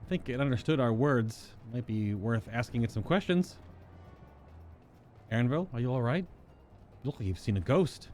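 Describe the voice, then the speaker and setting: A man with a mid-pitched voice reads a video game's quest dialogue aloud as a streamer would. He acts out the lines as he goes and sometimes trips on odd fantasy names, with music playing faintly behind him. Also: animated, moderate pace, long pauses, a little mellow. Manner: voices characters' lines, stumbles over invented names. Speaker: streamer reading game story aloud. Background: game music.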